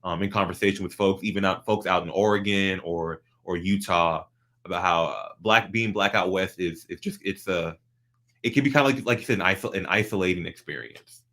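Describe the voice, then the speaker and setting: Guy hosting a chat, lowish voice, kinda rambly with lots of restarts. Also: fairly quick, pushed and bright. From North Carolina, USA. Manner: thoughtful, rambling, many restarts. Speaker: host. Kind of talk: casual interview hosting.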